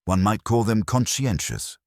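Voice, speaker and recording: A man's voice: lowish voice, lively intonation, measured pace, a little mellow, dry recording, clean studio sound, pitched above the performer's own voice. A man voicing a prim, exacting woman who keeps parish records, precise, formal and grudging, in a mystery story.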